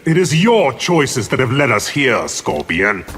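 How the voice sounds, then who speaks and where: Haughty evil sorcerer for a fighting game, drawn out and super swoopy delivery, noisy track. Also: male voice, mid-range voice, sing-song, a little slow. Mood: smug, haughty. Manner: theatrical, menacing, haughty. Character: scheming, arrogant male necromancer sorcerer villain. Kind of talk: video game voice acting.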